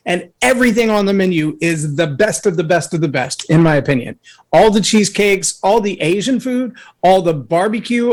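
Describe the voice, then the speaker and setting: A man guesting on a talk show, telling a personal story in an earnest, anecdotal way, with a voice that can boom when he raises it. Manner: earnest, anecdotal, acts out others' words. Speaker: guest speaker.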